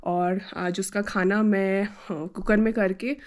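A woman's voice: medium pitch, fairly even intonation, unhurried. A woman in her thirties chatting casually in a travel vlog, mixing Hindi and English, filmed on a phone held at arm's length. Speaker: travel vlogger. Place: hotel doorway and car.

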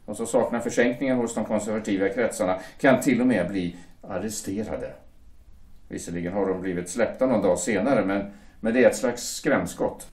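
A man's voice: fairly low pitch, darkish tone, close to an everyday voice. A man playing a hesitant shipyard foreman. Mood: grave. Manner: hesitant, defensive. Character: worried male shipyard foreman, dutiful family man. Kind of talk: amateur audio drama acting. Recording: echoey room.

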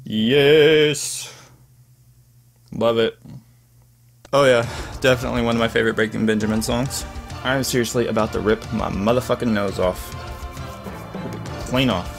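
A young man with a mid-range voice talks casually and eagerly, sounding a little stuffed up and congested. He speaks into a desk mic in a small bedroom studio, with a rock song clearly playing behind him. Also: medium pitch, normal speed, long pauses. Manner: chatty, enthusiastic, apologetic asides. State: congested from allergies. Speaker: music reaction YouTuber. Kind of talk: casual reaction commentary. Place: small bedroom studio with poster-covered wall. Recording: loud rock music under the voice.

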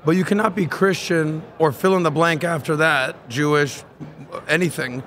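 A man in his forties with a high voice hosts a casual podcast chat, throwing in quick questions. He talks into a desk mic at a convention booth, with faint noise in the background. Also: steady tone, fairly bright tone. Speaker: podcast host. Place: convention booth in a large indoor hall.

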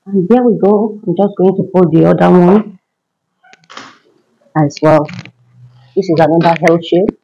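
Husky-voiced housemaid chatting while she cleans. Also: on the low side, measured pace, pauses often, mellow, muffled recording. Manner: chatty, talks viewers through each task while working. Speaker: housemaid filming her cleaning routine. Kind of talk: casual vlog narration to viewers. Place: tiled rooms of a large home.

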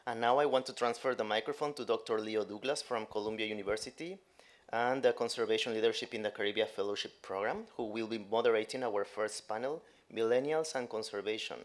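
A man's voice: on the low side, quick pace. Man giving a formal welcome speech. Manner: formal, reading a script. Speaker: regional representative, opening speaker. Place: conference room with audience. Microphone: podium mic.

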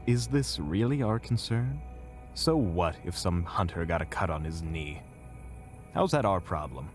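A man playing an aloof, philosophical mage in a fantasy audio drama, speaking in a deliberate, pompous, detached way. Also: deep voice, faint music behind. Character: Phineas, aloof, philosophical male mage with dark ambitions.

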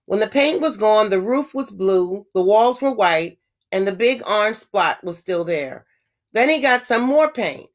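A woman teacher reading a children's storybook aloud, with expressive phrasing and emphasized words. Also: medium pitch, a little slow.

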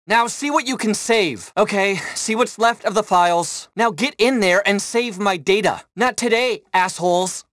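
Casting: condescending, entitled tech boss for a game, corporate jargon, very dry recording. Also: male voice, high voice, normal speed, few pauses, a bit bright, no echo. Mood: commanding. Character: smug, entitled male tech billionaire, self-important and easily panicked. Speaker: tech company boss and client. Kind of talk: video game voice acting.

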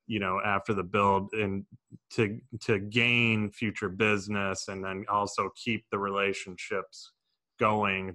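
An American man hosts a panel podcast from a small studio. His voice is middle-low and notably bright and crisp in edge, picked up on a close desk microphone. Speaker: podcast host. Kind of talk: moderating a panel discussion. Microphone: large desk microphone, close.

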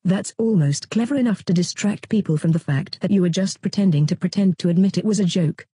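A synthetic computer voice as a snarky, bossy chatbot sidekick, deadpan and scolding. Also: medium pitch, a little fast, husky, no room sound.

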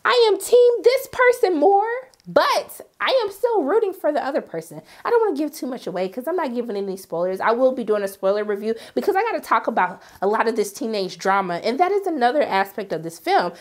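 A young woman reviewing a film in a sassy, animated way, her voice strained from being sick. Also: a little fast, hardly any pauses. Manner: sassy, animated, jumps high for quoted asides. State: sick, voice partly lost. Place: indoor studio, graphic backdrop.

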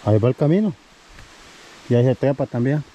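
A man in his thirties talks to his viewers while hiking up a forest trail, with an action camera held at arm's length. His voice is mellow and of middle pitch, and he sounds a little winded from the climb. Faint outdoor sound sits behind him. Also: expressive, brisk, dull audio, a little hiss or hum. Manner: chatty, addresses viewers as "mi gente". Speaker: family vlogger. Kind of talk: casual hiking vlog narration.

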